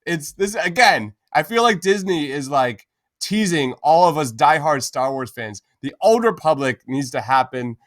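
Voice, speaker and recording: A man's voice: a little high, lively intonation, normal speed, fairly bright tone, no room sound. A man hosting a podcast, giving opinions in informal, emphatic fan chat. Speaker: podcast host.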